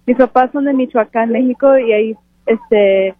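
A woman of twenty-two giving an earnest, halting, unscripted interview answer. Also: on the high side, no room sound. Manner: earnest, halting with self-corrections. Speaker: interviewed graduate student and scholarship recipient. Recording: phone-like radio broadcast sound.

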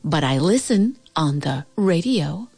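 A woman's voice: sits low, big pitch swings, takes it slowly, creaky, a little mellow, slightly rough, heavily acted. Woman putting on a snooty, vain lady wolfhound. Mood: haughty, disdainful. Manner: cool, sniffy, condescending. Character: haughty vain female wolfhound, glamorous and snobbish.

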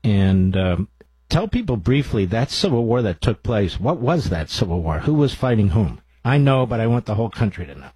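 A male radio talk show host with a rough-edged, husky voice. He addresses listeners and guests in an interview format, recorded close and dry with no room sound. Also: lowish voice, big pitch swings, normal speed, a little mellow, no room sound, rough recording.

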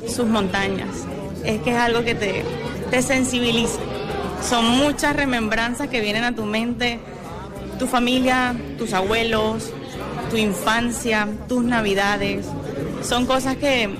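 A woman's voice: a little high, fairly even intonation, measured pace, music in the background, crowd noise. A Venezuelan woman answering an interview with emotion and effusive enthusiasm, often repeating words.

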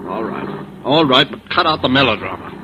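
Through a noisy, muffled old radio recording comes an actor playing a young American playboy, weak and evasive. His pitch swings widely in the melodramatic manner of 1940s radio drama. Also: expressive, a little fast, natural voice. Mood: defensive, impatient.

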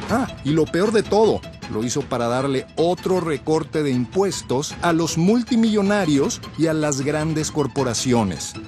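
A man reading a political radio ad in an urgent, persuasive voice. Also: a little high. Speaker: ad announcer. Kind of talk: political ad voice-over.

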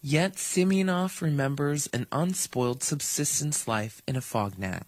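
A man reading scripted documentary narration in a measured, even way. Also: on the high side, no room sound.

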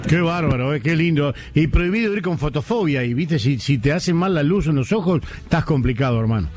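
Joking high-voiced Rioplatense radio host. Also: male voice, animated, brisk, darkish tone, a little hiss or hum. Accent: Rioplatense Spanish. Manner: joking, chatty, folksy. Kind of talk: live radio chat.

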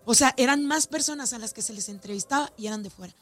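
A woman with a fairly high voice and a northern Mexican accent talks as an organizer in an interview. She is talkative and emphatic, repeating phrases to drive them home, with faint music behind her. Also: fast talker, a bit bright. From Ciudad Juárez, Mexico. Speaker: festival organizer being interviewed. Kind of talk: conversational radio or media interview.

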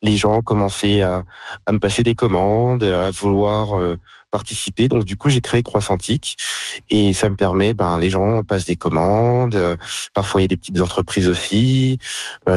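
A man with a French accent and a lowish voice, speaking as a guest in an interview. He answers haltingly, with frequent fillers and restarts. The sound is close and dry. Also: darkish tone, no echo. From France. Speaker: guest, pastry caterer.

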